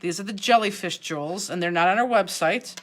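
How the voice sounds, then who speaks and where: A woman's voice: fairly low pitch, brisk. A woman from Cleveland with a Cleveland accent, hosting a live craft class and chatting as she answers viewers' comments. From Cleveland, Ohio. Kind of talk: live online craft demonstration. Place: craft studio, indoors.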